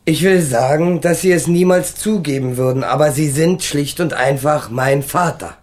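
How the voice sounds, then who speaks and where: A man's voice: a little high, normal speed, close to an everyday voice. Brash, slangy young male ex-convict, played by an actor. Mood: smug, accusing. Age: young adult. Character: defiant young ex-convict, cynical, crude, remorseless. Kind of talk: German radio crime drama acting.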